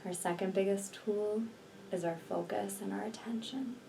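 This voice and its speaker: A woman leading a guided healing meditation in a slow, soothing, deliberate manner with long pauses between phrases. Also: mid-range voice, gravelly, dark tone. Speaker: energy healer and meditation guide. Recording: distant mic, faint background noise.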